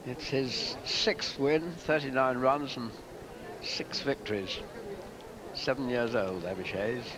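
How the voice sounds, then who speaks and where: A man's voice: medium pitch, slow pace, crowd noise. A man chatting casually as a pundit on a horse racing broadcast. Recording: noisy outdoor racecourse ambience.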